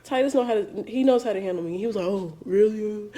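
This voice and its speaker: A woman in her twenties talks casually with her partner in slangy, animated speech. She tells stories with plenty of laughter. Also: medium pitch, fast talker. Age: 20s. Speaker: co-host. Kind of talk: casual couple chat.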